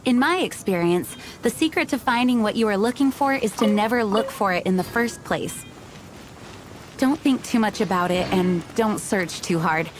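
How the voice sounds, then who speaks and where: An actress playing a composed, earnest young woman knight leader in an anime game, speaking in a measured, articulate way. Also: mid-range voice, animated, slight background noise, natural voice. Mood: reassuring.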